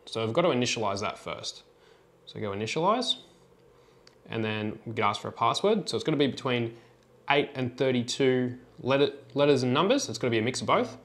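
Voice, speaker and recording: A man with a mid-pitched voice presents a hands-on tutorial. He explains each step informally, in a plain and instructional manner. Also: expressive, fairly quick, a bit bright. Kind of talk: informal step-by-step product demonstration.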